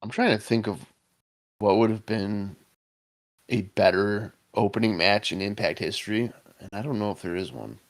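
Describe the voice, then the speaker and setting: A man co-hosting a podcast, reacting to an old wrestling match with excitable jokes and casual swearing. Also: on the low side, normal speed. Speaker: podcast co-host. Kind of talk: casual wrestling commentary chat.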